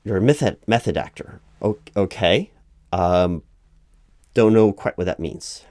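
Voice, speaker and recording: A man with a low voice plays an officious recruiting manager in a spoken-aloud ASMR roleplay. He explains things patiently but haltingly, as if improvising the interview. The sound is dry and close, with very little room in it. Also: darkish tone, no room sound. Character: flustered, officious male recruiting manager at a company. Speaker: job interviewer in a one-sided roleplay.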